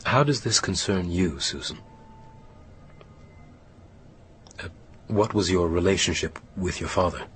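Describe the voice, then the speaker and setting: Man, formal therapist, deep voice, careful, measured, radio play, faint noise. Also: a bit bright. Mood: probing. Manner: formal, careful, punctuated with pauses. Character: measured, probing American male psychotherapist. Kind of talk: naturalistic radio drama dialogue.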